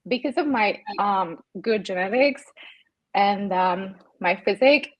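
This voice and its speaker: Young Hungarian-accented woman, native Hungarian, animated interview guest, dry close sound. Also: medium pitch, unhurried, no room sound, highs cut off. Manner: animated, storytelling with fillers. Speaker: podcast guest. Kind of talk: interview storytelling.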